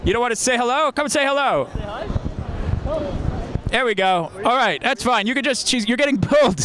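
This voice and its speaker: A man in his thirties doing comic street interviews with a deadpan, mock-confused manner into a handheld microphone. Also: very high voice, fairly quick. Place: crowded outdoor city square at night. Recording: noisy outdoor street recording.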